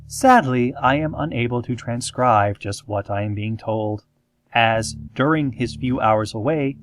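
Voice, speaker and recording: A man playing a calm, formal small-town radio host, narrating a parody horror-comedy show in measured, deadpan phrases with drawn-out dramatic moments. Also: medium pitch, lightly acted. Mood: calm, ominous deadpan. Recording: music playing behind the voice. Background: soft guitar music.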